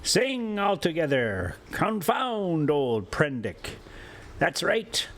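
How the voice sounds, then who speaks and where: A man reading a story aloud, voicing a drunken, reckless, bitter man who taunts and calls out loudly. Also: a little high, sing-song, slow pace, voice pushed up, exaggerated voice. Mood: rowdy, shouting. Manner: loud, taunting exclamations. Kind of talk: storybook character voice in a read-aloud.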